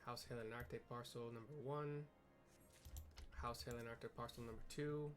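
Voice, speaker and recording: A man's voice: medium pitch, steady tone, normal speed, soft background music. A man hosting a gaming video, chatting casually and thinking aloud as he plays and reads game text. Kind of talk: casual chat while playing and reading game text aloud.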